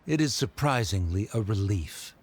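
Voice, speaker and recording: A man's voice: on the low side, animated, normal speed, a little mellow, slightly rough. A man voicing a haughty scholar antagonist in a video game, condescending and formal. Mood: condescending, relieved.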